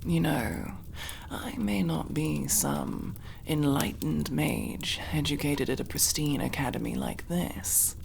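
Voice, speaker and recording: A woman playing a mocking, menacing elf interrogator in an ASMR roleplay, gravelly, drawing out taunts and dropping into breathy whispers. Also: deep voice, slow pace, darkish tone, slight background noise, exaggerated voice. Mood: smug, menacing.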